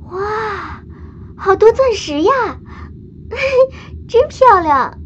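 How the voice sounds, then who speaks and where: A woman's voice: very high voice, expressive, extremely slow, lo-fi sound, a little hiss or hum, exaggerated voice. An actress playing an innocent, curious little girl full of sweet wonder in a radio drama. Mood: delighted wonder.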